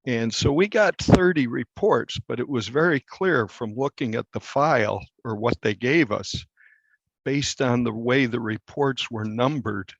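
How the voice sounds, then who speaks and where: A man, a newspaper reporter, explaining things in an interview with deliberate, halting phrasing. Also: medium pitch, a bit bright, no room sound. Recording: dull, slightly muffled lo-fi sound.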